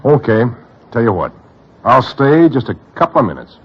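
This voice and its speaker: Husky New crook Dancing Dan. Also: male voice, medium pitch, big pitch swings, normal speed. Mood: earnest, hopeful. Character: Dancing Dan, lovestruck weary crook hoping to go straight. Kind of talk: 1940s radio drama acting. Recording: dull vintage radio recording.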